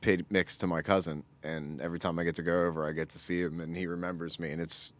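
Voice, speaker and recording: A man's voice: low voice, flat delivery, fast. A man co-hosting a podcast, chatting casually and trading banter. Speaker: podcast co-host. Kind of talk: casual chat.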